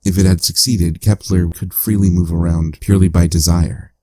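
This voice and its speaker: A man playing a calm, analytical AI companion in a cyberpunk audio drama, speaking in a measured, formal, clinical way. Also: low voice, no room sound, lightly acted. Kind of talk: scripted audio drama narration.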